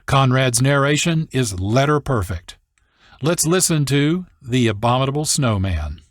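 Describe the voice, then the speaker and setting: A man hosting a radio program, reading scripted, explanatory narration in a measured way. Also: medium pitch, expressive, no echo, studio quality. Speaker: radio show producer and host.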